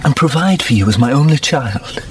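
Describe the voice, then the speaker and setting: An actor playing a remorseful, earnest gentleman father in a radio drama, speaking in a halting, regretful way. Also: medium pitch, animated, lightly acted. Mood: earnest, pleading. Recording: noisy radio drama recording.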